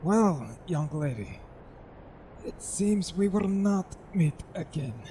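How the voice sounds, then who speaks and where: An actor playing a dying, badly injured man in an audio drama, courtly, formal and gently wry as the character labours to speak. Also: mid-range voice, lively intonation, a little hiss or hum. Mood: wry, resigned. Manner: slow, formal, gently ironic.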